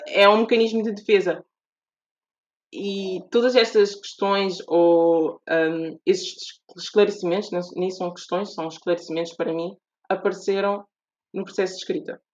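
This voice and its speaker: A woman's voice: lowish voice, fairly even intonation, moderate pace. A Portuguese woman with a European Portuguese accent, a guest author explaining her views earnestly in a podcast interview, often restarting her sentences. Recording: dull lo-fi audio.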